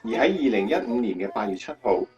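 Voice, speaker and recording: A male actor narrating a life story in a radio drama in expressive Cantonese, as an admiring storyteller. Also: lowish voice, fast talker, lo-fi sound, lightly acted.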